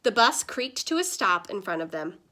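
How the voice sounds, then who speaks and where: A woman teacher reading a children's picture book aloud with expressive, lively storytelling. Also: mid-range voice, moderate pace.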